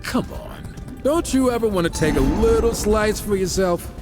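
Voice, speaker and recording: Cocky sarcastic rogue gunslinger in a game, higher lively voice, music under it. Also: male voice, normal speed. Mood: teasing. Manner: sarcastic, casual. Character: wisecracking rogue gunslinger horseman, cocky and irreverent. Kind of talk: video game voice acting. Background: dramatic game music.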